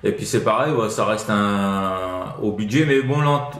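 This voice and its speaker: A man's voice: fairly low pitch, a little slow, a bit bright. A man with a French accent from France, chatting casually as a CB radio operator giving advice, in a hesitant, rambling way full of tag words. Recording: roomy echo.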